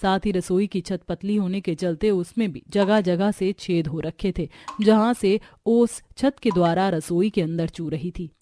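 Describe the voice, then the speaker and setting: A woman's voice: fairly low pitch, a little slow, dark tone, a little hiss or hum. A woman narrating a scripted cartoon story.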